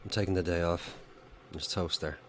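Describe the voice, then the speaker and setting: An actor plays a tense Irish husband in a horror audio drama. His voice is deep, and his phrases are short and commanding. He sounds insistent and gripped by fear. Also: steady tone, normal speed, slight background noise, lightly acted. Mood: grimly determined. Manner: terse, commanding, clipped phrases.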